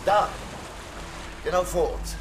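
An actor in a radio play voicing an anxious, excitable professor with an urgent, questioning manner. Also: male voice, high voice, takes it slowly, background noise, full character voice. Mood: startled.

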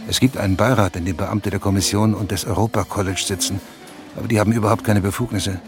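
A man's voice: on the low side, steady tone, fast talker, lightly acted. A man playing an intense, insistent investigative journalist who is hungry for an exclusive, in a radio drama. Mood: emphatic briefing. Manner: urgent, insistent, emphatic explaining. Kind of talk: German radio drama dialogue. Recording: music behind the dialogue.

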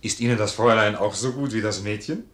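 A male actor as a low-voiced army sergeant in a classical radio play. He is blunt, loyal and warm-hearted, pressing his case earnestly with theatrical weight. The room around him rings noticeably. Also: fast talker, roomy sound, close to an everyday voice. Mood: cheerful curiosity. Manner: plain-spoken, earnest, insistent, theatrical delivery. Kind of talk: German classical stage comedy as radio play.

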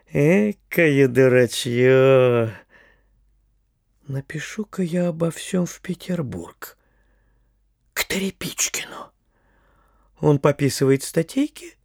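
A man playing a boastful, frivolous young gentleman in a theatrical audio drama, hesitant and self-satisfied. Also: fairly high pitch, expressive, slow, gravelly, long pauses, no room sound, clean studio sound, exaggerated voice. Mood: gloating.

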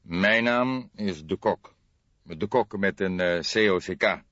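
A man's voice: deep voice, fairly bright tone, dry recording, muffled recording. Man playing an insistent, formal old detective. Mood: courteous. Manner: deliberate, formal, spelling things out carefully. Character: old male police detective, courteous, insistent, restrained impatience.

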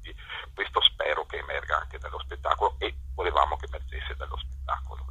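A man being interviewed as a theatre director over a telephone line, speaking spontaneously and haltingly, repeating words as he forms his sentences. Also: a bass voice, pushed and bright, husky, no echo, in a whisper. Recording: tinny, harsh telephone line.

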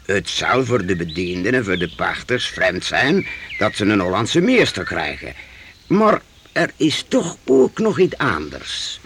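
A man's voice: medium pitch, fairly bright tone, a little hiss or hum. A man acting a kindly older mentor in a radio drama, avuncular, with old-fashioned theatrical phrasing. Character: kindly older Swedish mentor to two young friends.

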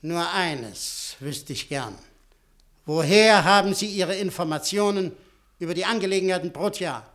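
An actor in a German radio play playing an imperious, suspicious official who presses and threatens insistently. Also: male voice, high voice, measured pace. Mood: suspicious, pressing. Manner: insistent, forceful, theatrical delivery.

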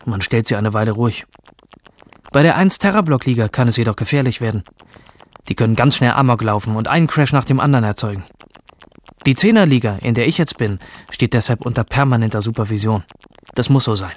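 A man's voice: mid-range voice, a little fast, close to an everyday voice. An actor playing an obsessive, rebellious male hacker from a virtual future world, narrating a radio drama in the first person. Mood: cynical, boastful. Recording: music under the voice.

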